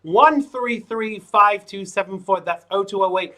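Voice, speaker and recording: A man's voice: measured pace, sharp tone. A man hosting a live call-in show, chatting through news items with jokey asides. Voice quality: says his voice is low. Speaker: show host.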